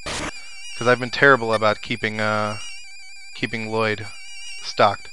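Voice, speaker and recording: A man's voice: fairly low pitch, little pitch movement, takes it slowly, pushed and bright, low-quality recording. A man giving casual let's play commentary, thinking aloud to his viewers as he plays. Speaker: gaming video host. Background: video game music and sound effects.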